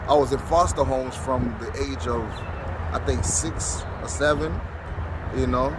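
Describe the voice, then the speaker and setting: A man in his thirties talks haltingly outdoors, filling his answers with soft fillers and restarts at a middling pitch. Loud city traffic roars close behind him the whole time. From Florida. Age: 30s. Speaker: interviewee. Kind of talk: street interview answers.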